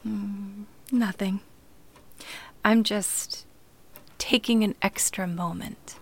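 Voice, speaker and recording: A woman playing a sweet, devoted young granddaughter in a radio play, quiet, thoughtful, hesitant and earnest. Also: mid-range voice, fairly even intonation, very slow, gravelly, full character voice. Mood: quiet, tender. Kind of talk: sentimental radio play acting.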